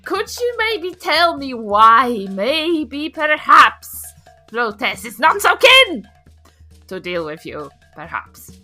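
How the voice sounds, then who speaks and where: A woman voicing an indignant old granny in a tabletop game, asking pointed, sarcastic, drawn-out questions. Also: high voice, wildly swooping pitch, sharp tone, faint music behind, pitched above the performer's own voice, heavily acted.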